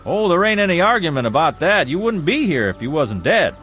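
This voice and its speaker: Casting: folksy, coaxing afterlife gatekeeper, Southern backwoods accent, high and very animated, faint music behind. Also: male voice. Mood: sly, genial. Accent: rural Southern American. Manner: folksy, coaxing, drawn-out phrasing. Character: folksy, wheedling male gatekeeper of a false heaven. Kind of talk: vintage television drama acting.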